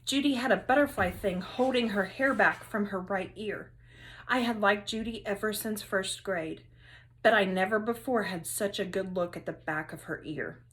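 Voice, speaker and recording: A woman teacher reading a children's story aloud, expressive and with dramatic emphasis. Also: mid-range voice, moderate pace.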